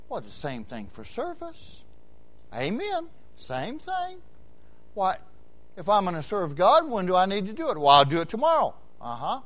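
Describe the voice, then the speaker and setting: Sixties male Baptist preacher, high-pitched, rhythmic repetition, loud emphatic peaks. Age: 60s. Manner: preaching with big swings between quiet asides and loud shouted emphasis; repeats phrases in rhythmic runs. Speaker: Baptist pastor. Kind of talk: sermon.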